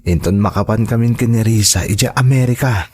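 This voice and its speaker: An earnest man in an audio drama played by a male actor, with a fairly low voice. He is a trusting husband and dutiful son who speaks in a reassuring way. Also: fairly quick, a little mellow, lightly acted. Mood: reflective, hopeful. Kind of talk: Ilocano audio drama acting.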